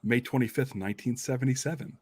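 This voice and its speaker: A man's voice: medium pitch, fast talker, a little mellow, husky. A man hosting a podcast in casual, informal chat, telling things loosely and conversationally. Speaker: podcast host.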